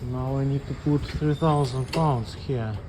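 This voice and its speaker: A man giving an unscripted step-by-step equipment tutorial as an instructor, speaking plainly and haltingly in short phrases. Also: mid-range voice, noisy background. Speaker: instructor demonstrating equipment calibration.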